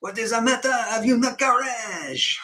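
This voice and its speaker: Man putting on a pushy high-pitched theatrical financier. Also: moderate pace, pushed and bright. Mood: goading, scornful. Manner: theatrical, goading. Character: excitable, scheming foreign financier, pushy and grandiose.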